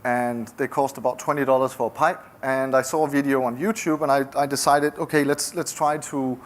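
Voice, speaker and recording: A man's voice: medium pitch, fairly even intonation. A middle-aged man from Denmark with a Danish accent, giving a conversational founder's talk with frequent restarts. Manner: conversational, halting with many restarts. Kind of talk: conference talk.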